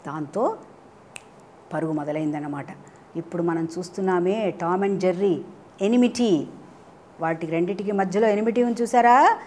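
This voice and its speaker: A woman's voice: lowish voice, normal speed, long pauses, mellow. Woman telling children's stories, some background noise. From India. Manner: asks listeners questions, mixes in English phrases. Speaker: children's storyteller. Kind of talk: animated storytelling for children.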